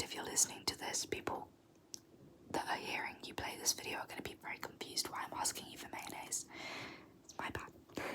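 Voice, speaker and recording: A young woman whispering softly and slowly repeating words for an ASMR tag video. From South Africa. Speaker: YouTuber.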